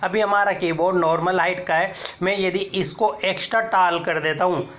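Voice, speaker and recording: A man. He goes one step at a time, pausing to point things out and telling viewers to look here. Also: high-pitched, fairly even intonation, fairly bright tone. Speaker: tech tutorial presenter. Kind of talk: screen-recorded how-to explanation.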